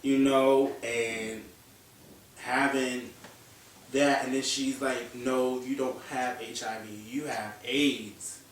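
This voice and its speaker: A man's voice: mid-range voice, fairly even intonation, slow pace, a little hiss or hum. A young man in his early twenties speaking candidly and emotionally on a panel chat show, now and then swearing. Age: young adult. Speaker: guest. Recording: echoey room, distant mic.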